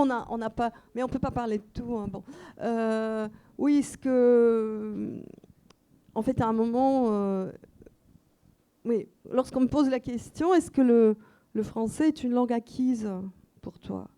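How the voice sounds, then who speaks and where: A woman's voice: fairly high pitch, warm tone. Reflective woman, Russian native. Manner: reflective, hesitant with many fillers. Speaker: guest translator and author. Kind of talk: unscripted festival talk. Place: festival meeting room with audience.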